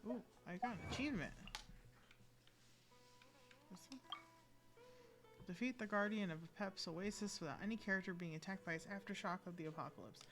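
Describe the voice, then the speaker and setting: A woman's voice: medium pitch, a little fast, long pauses. A woman doing casual live gameplay commentary as a streamer, rambling and thinking aloud. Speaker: game streamer. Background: loud video game music and battle sounds.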